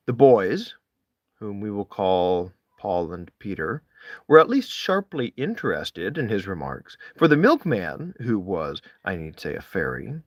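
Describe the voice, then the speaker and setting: A man narrates literary prose in a medium-pitched voice. His intonation swings widely, with deliberate, dramatic phrasing. The sound is close and dry, as in an audiobook-style reading. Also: a bit bright, no room sound. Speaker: audiobook-style reader of classic essays. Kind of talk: literary read-aloud, expressive scripted narration.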